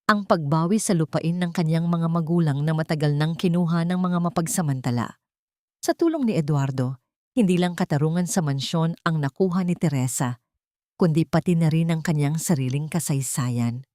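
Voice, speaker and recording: A woman narrating a scripted dramatic audiobook in a solemn, sentimental and measured way. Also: sits low, a little mellow, no echo, studio quality. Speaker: storyteller, narrator.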